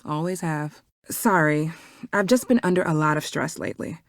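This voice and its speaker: A woman's voice: deep voice, normal speed, no room sound, clean studio sound. An actress playing a guarded, weary, grieving woman in a video game, speaking curtly. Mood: weary, sad. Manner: curt, guarded.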